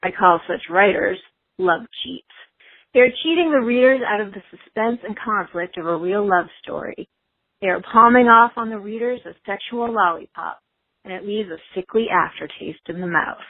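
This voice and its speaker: Female amateur reader, average pitch, reciting prose; close dry sound, somewhat dull fidelity. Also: normal speed, husky, dry recording. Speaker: listener contest entrant. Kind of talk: reading a book excerpt aloud.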